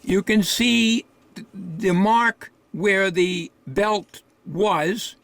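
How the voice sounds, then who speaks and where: A man giving an informal, unscripted hands-on repair demonstration, thinking aloud in a halting way. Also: sits high, a bit bright, no echo.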